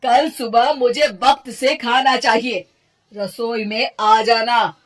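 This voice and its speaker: A woman's voice: a little high, steady tone, slow pace. A woman playing a stern cartoon mother-in-law who scolds and speaks sarcastically.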